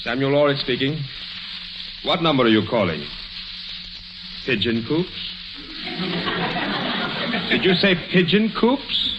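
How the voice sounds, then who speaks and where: An actor playing a busy, middle-aged American small-town lawyer in a 1940s radio comedy, blustery and easily flustered. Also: male voice, mid-range voice, big pitch swings, slow pace, long pauses. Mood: exasperated, suspicious. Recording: noisy, muffled old radio recording.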